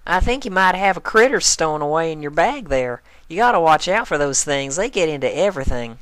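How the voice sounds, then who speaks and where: A woman's voice: sits low, expressive, fairly quick, dry recording, pitched above the performer's own voice. A woman voicing a friendly, forthright young farm mare in a cartoon story, with a Southern US accent. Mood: wary, startled. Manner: folksy, forthright.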